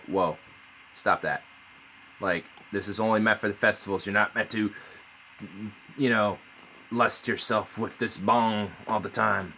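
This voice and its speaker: Bright, low male voice for a loose, profane phone stream, faint hiss behind. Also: rough recording. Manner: rambling, laid-back, swears often. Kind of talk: casual live-stream chat.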